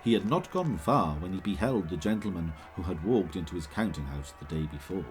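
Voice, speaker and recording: A man giving measured, scripted dramatic narration as the storyteller of a Victorian Christmas tale. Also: low-pitched, lively intonation, faint music behind. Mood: measured, fond.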